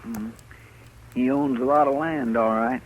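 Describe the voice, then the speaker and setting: An actor playing an earnest, deferential marshal's sidekick with a homespun, rambling manner, 1950s radio style. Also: male voice, medium pitch, steady tone, measured pace, phone-quality sound, close to an everyday voice. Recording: faintly noisy old radio recording.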